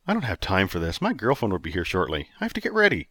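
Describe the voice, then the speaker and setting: Man reading a sarcastic young guy, impatient, dry delivery, lively pitch. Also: fast, husky, dry recording, exaggerated voice.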